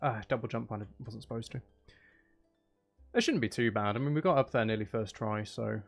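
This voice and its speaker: A man's voice: medium pitch, expressive, fairly quick, gravelly, faint music behind. A man giving casual livestream commentary on a video game, talking to himself with mutters and exclamations. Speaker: game streamer.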